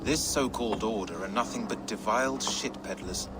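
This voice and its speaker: An actor playing a wry, defiant medieval English reeve with a salty, sardonic turn of speech, in a video game. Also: male voice, fairly low pitch, moderate pace, over loud music, natural voice. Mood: sardonic, friendly. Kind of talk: dramatic video game acting.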